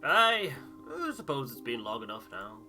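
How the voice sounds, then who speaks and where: A man's voice: medium pitch, big pitch swings, normal speed, sharp tone, soft background music. A man voicing a bored, familiar working-class expedition crewman making rambling, colloquial small talk in a game. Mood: chatty, bored.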